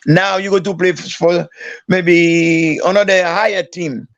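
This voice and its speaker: A male podcast guest speaking halting, broken English and often repeating himself. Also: high-pitched, fairly even intonation, fairly bright tone, no room sound, lo-fi sound. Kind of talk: casual podcast chat.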